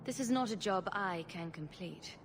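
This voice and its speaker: A woman's voice: lowish voice, lively intonation, normal speed, a little hiss or hum. An actress playing a cool, dry-witted female mercenary in a video game cutscene, terse and confident, with background ambience. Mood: firm.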